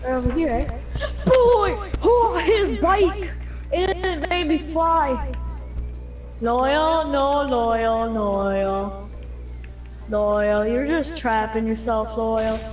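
A woman with a high voice chats over a headset while racing in a game with friends. She drawls, then bursts into shouts and laughter. Game music plays loudly behind her. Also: expressive, very slow. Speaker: gamer streaming with friends. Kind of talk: excited gameplay chat with teammates.

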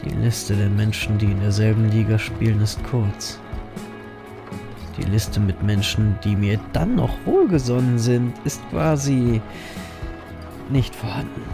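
A man's voice: fairly low pitch, measured pace, gravelly, music in the background. A man playing a weary, wry reaper who narrates a noir horror audio drama in a dry, brooding way. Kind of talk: scripted first-person noir narration, German audio drama.

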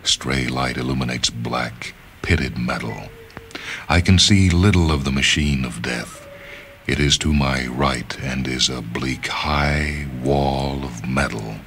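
Very deep-voiced man reading a story. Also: a bit bright, a little hiss or hum. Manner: measured, deliberate, with pauses. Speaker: narrator reading short fiction. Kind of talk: dramatic literary story reading. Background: music.

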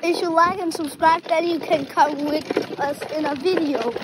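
A woman's voice: sits high, steady tone, normal speed, noisy background. A woman chatting playfully in a casual vlog, rambling and stumbling over her words. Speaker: vlogger.

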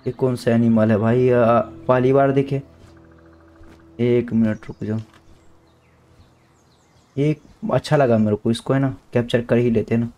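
A young man with a sore throat giving chatty, slangy let's-play commentary, now and then shouting at the game characters. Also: mid-range voice, fairly even intonation, measured pace, pauses often, soft background music. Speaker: gaming YouTuber.

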